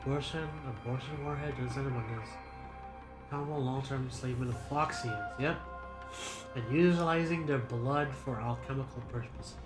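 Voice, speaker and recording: A man's voice: mid-range voice, normal speed. A man streaming a game with casual, reactive commentary and blurted exclamations. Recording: game music behind the voice. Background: video game music.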